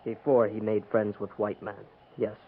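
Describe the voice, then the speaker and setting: A man's voice: lowish voice, little pitch movement, normal speed, lightly acted. A narrow, noisy 1950s radio recording carries a young band leader, acted by a man. He is proud and defiant, making terse, solemn pronouncements in stilted English. It is declamatory western radio acting.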